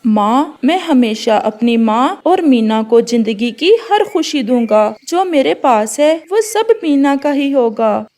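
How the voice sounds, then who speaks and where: A woman's voice: high voice, lively intonation, takes it slowly, hardly any pauses, darkish tone. A woman voicing a polite, sentimental young male bird groom in a cartoon, earnest and courteous. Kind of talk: Hindi cartoon character dialogue.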